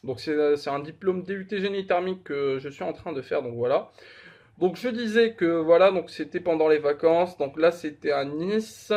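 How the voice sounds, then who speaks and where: A man's voice: fairly high pitch, normal speed, a little mellow. Hesitant young man from Morocco. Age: young adult. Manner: hesitant, many fillers and restarts; candid and self-deprecating. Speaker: fitness vlogger. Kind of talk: casual vlog talk.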